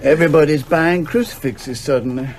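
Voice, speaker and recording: Man playing a higher-voiced, chatty shop assistant. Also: brisk, background noise, lightly acted. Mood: bemused, chatty. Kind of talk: radio drama acting.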